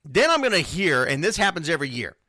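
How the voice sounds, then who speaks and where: A man's voice: on the high side, sing-song, quick pace, pushed and bright, dry recording, studio quality. A man hosting an unscripted sports talk show, answering listeners in a blunt, emphatic way and repeating phrases for stress. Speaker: sports radio show host.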